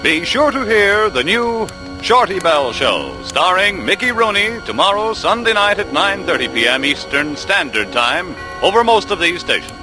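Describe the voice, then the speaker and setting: An American man reading scripted credits as a 1940s network radio announcer, with a husky edge to his voice. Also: high voice, very animated, normal speed, over music, rough recording.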